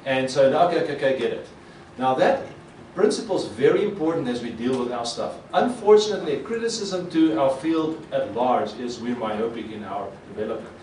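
A man's voice: medium pitch, fairly quick, hardly any pauses, a little hiss or hum. Man lecturing in an echoey hall. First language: Afrikaans. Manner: animated, gestures a lot, acts out quoted reactions. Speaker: conference presenter. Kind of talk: conference talk. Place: conference hall with projector screen. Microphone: podium mic. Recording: echoey hall, mic a bit far.

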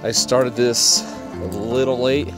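A man narrating casually while hiking a pine forest trail with his dogs, chatty and relaxed, recorded outdoors on a handheld camera. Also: mid-range voice, slow pace, over music. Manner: laid-back, chatty, talks to his dogs. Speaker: hiking vlogger.

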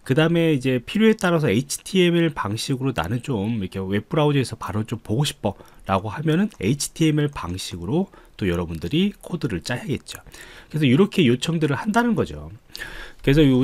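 A man's voice: mid-range voice, dry recording. A man giving a screencast coding tutorial as an instructor, explaining politely step by step. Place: indoors, voice-over on screen recording.

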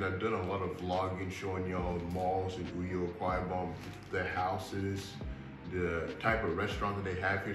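Young American man vlogging in an echoey room. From Houston, Texas, United States. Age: young adult. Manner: relaxed and earnest, addressing viewers as y'all, gets animated with rising pitch. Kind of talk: casual to-camera vlog talk. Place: indoor room with a fan running, seated on a sofa. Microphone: built-in camera mic at a short distance. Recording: echoey room, faint fan hum.

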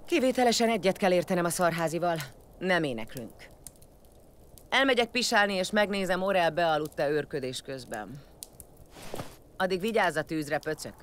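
A woman's voice: lowish voice, animated, pauses often, a little hiss or hum, natural voice. A woman playing a blunt, commanding warrior leader in an audio drama, vengeful and foul-mouthed. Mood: curt, commanding.

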